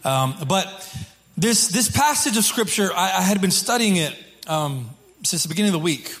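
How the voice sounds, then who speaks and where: A man preaching as a pastor to his congregation in an informal, halting way, repeating phrases, with a slightly hoarse voice. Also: high voice, big pitch swings, a bit bright. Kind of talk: sermon to a congregation. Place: church service.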